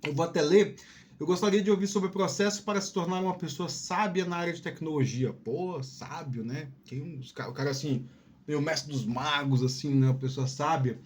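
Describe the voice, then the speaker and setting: A man's voice: a little high, moderate pace. A man giving casual career advice as a video host, informal and digressive, often repeating words and checking in with the listener. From Piauí, Brazil.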